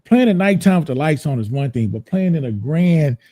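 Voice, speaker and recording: Guy hosting a chat show, super dark mellow voice, easygoing and rambly. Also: a little high, expressive, a little fast. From United States. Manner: laid-back, chatty, repeats words as he thinks. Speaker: podcast host. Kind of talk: casual interview chat.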